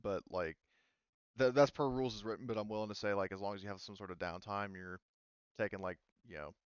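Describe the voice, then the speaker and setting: A man's voice: on the low side, steady tone, fast, clean tone, no echo, muffled recording. A man acting as game master, casually explaining tabletop rules in a rambling, informal way.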